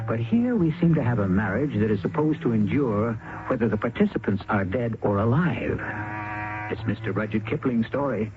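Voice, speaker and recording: Man, radio drama host, low voice, wry, theatrical, thin old broadcast sound, music behind. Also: phone-quality sound, noisy background. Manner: wry, knowing.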